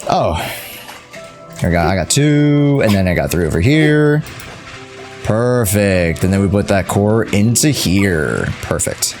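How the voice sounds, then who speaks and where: A man's voice: on the low side, lively intonation, takes it slowly, a little mellow, faint music behind. A young man streaming a game with casual, playful, chatty commentary. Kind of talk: casual live gaming commentary.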